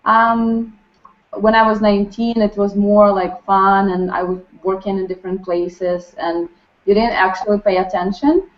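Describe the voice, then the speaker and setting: A woman's voice: mid-range voice, fairly even intonation. The twenty-something Ukrainian woman taking her turn to introduce herself at a staff workshop, feeling for her words in a dull, roomy recording. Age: 20s. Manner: halting, non-native English phrasing. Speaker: course participant introducing herself. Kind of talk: casual personal sharing in a group. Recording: muffled lo-fi, roomy echo.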